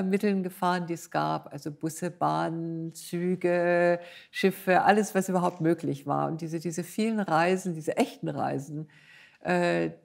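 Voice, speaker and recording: A woman's voice: lowish voice, vocal fry, darkish tone. An older woman, a composer being interviewed, explaining her work in a thoughtful, conversational way. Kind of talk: reflective conversational interview in front of an audience.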